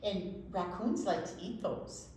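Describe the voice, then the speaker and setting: A woman's voice: mid-range voice, measured pace, a little mellow, slight background noise. An older woman chatting casually and playfully to young children as a storytime teacher. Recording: echoey room.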